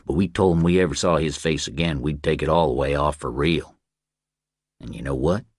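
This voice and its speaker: A man voicing a cold, boastful Texas ranch hand in an audiobook, speaking with slow folksy menace. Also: a bass voice, husky, dry recording, studio quality. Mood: menacing boast.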